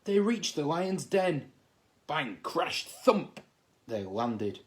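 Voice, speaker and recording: Male teacher reading a children's bedtime story: unhurried, highly animated intonation, expressive narration.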